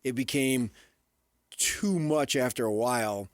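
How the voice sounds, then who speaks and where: A man's voice: medium pitch, a little slow, dry recording. An American man co-hosting sports talk radio, speaking casually in rambling anecdotes and asides.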